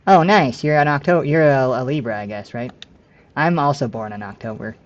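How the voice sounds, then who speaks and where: A man's voice: medium pitch, normal speed, dull audio. A man in his late twenties chatting casually with viewers while streaming a game, rambling and correcting himself as he goes, with frequent laughs. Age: 20s.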